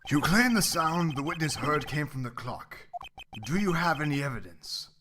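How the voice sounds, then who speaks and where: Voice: mid-range voice, very animated, normal speed, noisy background, natural voice. An actor voicing a stern, dignified male judge in an amateur courtroom game dub, speaking with formal authority. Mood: inquiring. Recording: loud music behind the voice.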